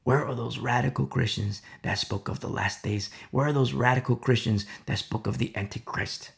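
Man, gravelly voice, Bible teaching sermon, rhetorical, hushed asides. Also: medium pitch, normal speed. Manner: rhetorical, repeats "you see?", drops to hushed asides.

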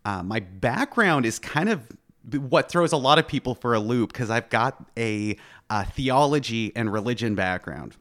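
A man's voice: medium pitch, expressive, normal speed, fairly bright tone. A young man from Eastern Canada with a slight Canadian accent, a guest talking playfully and articulately about himself. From Canada, New Brunswick. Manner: playful, articulate, self-deprecating. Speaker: guest, grant coordinator and pastor. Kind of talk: interview conversation.

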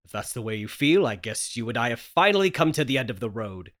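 A man's voice: mid-range voice, very animated, fast, fairly bright tone. A man acting an overwrought, wildly swooping desperate husband. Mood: bitter. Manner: overwrought, theatrical, stammering. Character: wronged, desperate husband, pleading and melodramatically hysterical. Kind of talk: 1930s radio horror melodrama table read.

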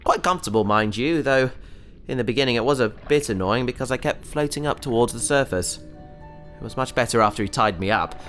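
A man's voice: medium pitch, brisk, fairly bright tone, soft background music, close to an everyday voice. An actor playing a naive, cheerful young man trapped in an alien's fish tank, rambling and talking his way around trouble in a sci-fi audio drama. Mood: breezy. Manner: naive, breezy, rambling and self-justifying.

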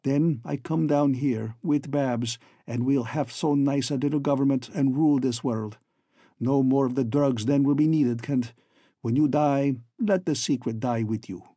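Man acting smug hunchback villain, raspy, ingratiating, ironic, stilted phrasing, audiobook. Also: mid-range voice, unhurried, dark tone, no echo. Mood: boastful, smug. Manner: broken foreign-sounding syntax, ironic, oily. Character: gloating hunchback villain, falsely friendly, ingratiating, lustful schemer.

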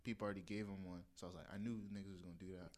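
A man's voice: sits low, little pitch movement, racing, a little mellow. A young man co-hosting a casual podcast chat, telling stories in a loose, laid-back way that often trails off. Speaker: podcast co-host.

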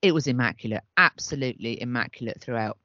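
A man's voice: mid-range voice, fast talker, sharp tone, no room sound, dull audio. A man co-hosting a podcast, chatting enthusiastically in long rambling sentences full of restarts. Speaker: podcast co-host. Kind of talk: casual chat.

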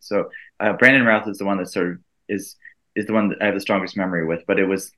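A man's voice: low-pitched, fairly bright tone. A man in his thirties, a native Italian speaker raised in the United States, talking earnestly and reflectively as an interview guest. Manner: earnest, reflective, laughs lightly. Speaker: guest, event co-director and teacher. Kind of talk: casual interview conversation.

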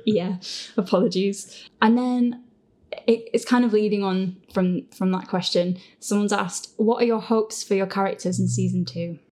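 Woman hosting a relaxed q&a, chatty and giggly, mid-pitched voice. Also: normal speed. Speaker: host and creator of an audio drama. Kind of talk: casual, giggly panel Q&A chat.

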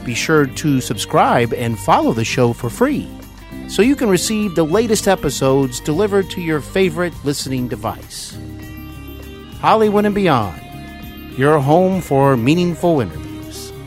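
A man in his forties hosting an interview podcast, speaking in an earnest, deliberate and effusive way. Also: on the high side, very animated, faint music behind. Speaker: podcast host.

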